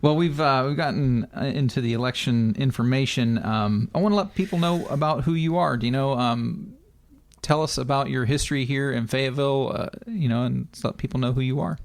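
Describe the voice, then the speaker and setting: A man's voice: medium pitch, fairly quick, dry recording. A man hosting a casual interview, talking in a conversational, agreeable way. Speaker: podcast host.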